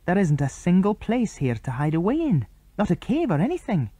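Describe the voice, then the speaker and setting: A man's voice: high-pitched, huge pitch swings, a little fast, warm tone, husky, no room sound, voice pushed up, exaggerated voice. A man reading aloud and voicing a brave, thoughtful young boy who leads the others, in an eager, earnest way.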